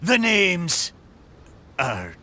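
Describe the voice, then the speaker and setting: A jolly old talking boat, wildly swooping voice. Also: male voice, sits high, wildly swooping pitch, pushed and bright, exaggerated voice. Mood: hearty. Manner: salty sailor talk, hearty, drawn-out. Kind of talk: video game voice acting.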